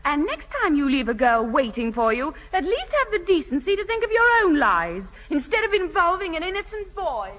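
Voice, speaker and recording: Haughty, high-voiced sarcastic sister. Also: female voice, sing-song, normal speed, few pauses, a bit bright, exaggerated voice. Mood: scornful. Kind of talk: 1970 British radio sitcom acting. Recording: noisy, reverberant old radio recording.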